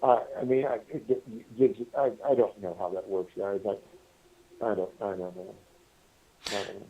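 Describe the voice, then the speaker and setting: A man's voice: on the low side, fairly even intonation, recorded from a distance. Man on a tinny phone line. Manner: halting, repetitive, often addressing the listeners. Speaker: podcast guest calling from prison. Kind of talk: rambling casual storytelling. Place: prison phone call. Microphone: telephone handset. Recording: tinny, band-limited prison phone line.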